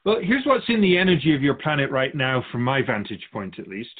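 Through a thin, phone-like band comes a man acting as a wise spirit from beyond, calm and measured. He speaks philosophically in radio drama dialogue, gently teasing the humans he guides. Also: medium pitch. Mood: calm, grave. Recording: muffled phone-like narrow band.

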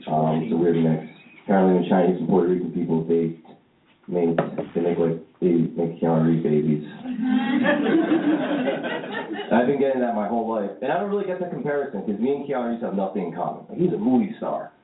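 A young comedian on a club stage, telling jokes deadpan and mocking himself. His pitch swings widely as he delivers the lines. The recording is tinny, like a phone line, with echo and loud noise behind him. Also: fairly quick, crowd noise. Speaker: stand-up comedian. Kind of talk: stand-up set. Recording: echoey club, tinny and noisy.

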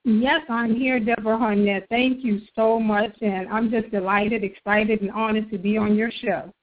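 A woman's voice: mid-range voice, steady tone, moderate pace. A middle-aged woman from New York, a guest author on a phone interview, telling her story in an earnest, motivational way. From New York, United States. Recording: tinny phone line.

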